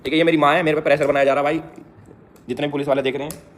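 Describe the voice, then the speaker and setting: A man's voice: fairly high pitch, flat delivery, rapid-fire, slight background noise. A man, chatting and laughing with his mother in a casual vlog. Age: 20s. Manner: joking, laughs often, addresses viewers as brothers. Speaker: vlogger. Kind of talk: casual family vlog chat.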